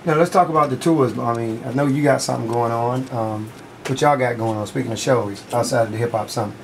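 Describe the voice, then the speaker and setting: A man's voice: mid-range voice, fast talker, gravelly, noisy background. An American man hosting a casual radio interview in an informal, slangy way. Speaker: radio host. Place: radio studio.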